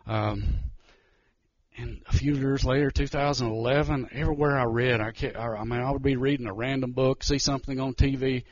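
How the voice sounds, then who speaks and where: A man's voice: mid-range voice, steady tone, no echo, muffled recording. Nervous man giving testimony. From Georgia, US. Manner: nervous, halting, many restarts. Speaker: missionary. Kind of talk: church testimony talk.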